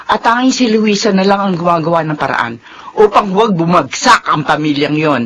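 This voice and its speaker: A woman's voice: on the low side, expressive, normal speed, a bit bright. An older woman playing a worried, protective elderly mother in a radio drama, speaking in an earnest, pleading way. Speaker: mother of the heroine.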